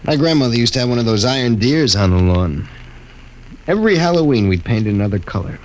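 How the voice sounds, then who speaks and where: A man's voice: mid-range voice, expressive, brisk, a little mellow, a little hiss or hum, close to an everyday voice, poor audio. An actor playing a plainspoken, eager young male crewman in a 1950s radio drama. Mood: nostalgic.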